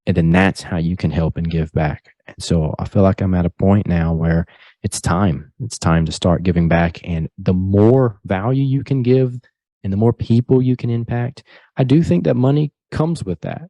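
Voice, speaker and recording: A man with a low, warm, mellow voice speaks as a guest on a podcast. He sounds earnest and motivational and keeps a steady delivery. The sound is dry and close, with no room echo. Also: moderate pace. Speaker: podcast guest, real estate broker. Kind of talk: casual interview chat.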